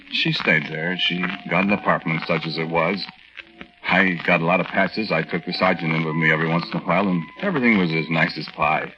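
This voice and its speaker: An actor playing an aggrieved young soldier husband who tells his story in a folksy, hesitant, conversational way in a 1940s radio drama. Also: sits low. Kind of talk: 1940s radio drama first-person narration. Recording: dull old 1940s radio recording.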